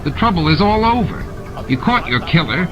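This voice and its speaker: A man's voice: high voice, very animated, moderate pace, highs cut off, pitched above the performer's own voice. A man voicing a greedy, scheming alien bar owner who protests and evades in an agitated way, in a dramatized audiobook. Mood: alarmed, indignant. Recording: music and sound effects behind the voice.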